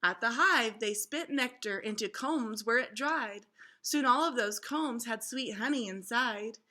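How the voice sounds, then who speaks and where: Casting: female teacher for a children's read-aloud, high-pitched, expressive and clearly instructive. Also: unhurried.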